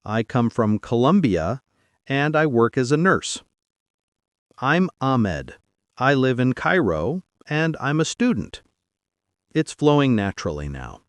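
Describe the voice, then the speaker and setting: A man hosting an English-learning podcast, speaking upbeat and clearly, slowly spelling out phrases for listeners to repeat. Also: medium pitch, big pitch swings, no room sound. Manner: upbeat, clear, slow and deliberate for repetition. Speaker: podcast host and English teacher. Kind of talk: scripted English lesson.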